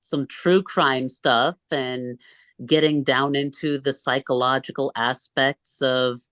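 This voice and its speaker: A woman's voice: extremely low pitch, steady tone, slow pace, fairly bright tone, dry recording. A woman guest in a casual interview, speaking in an easygoing way.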